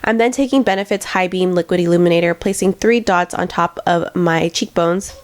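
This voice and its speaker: A young woman narrates a makeup tutorial with a congested, nasal voice. She sits a little low in pitch and explains each step in a friendly, chatty way. The sound is dry and close, with no room around it. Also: a little fast, no echo. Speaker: beauty vlogger.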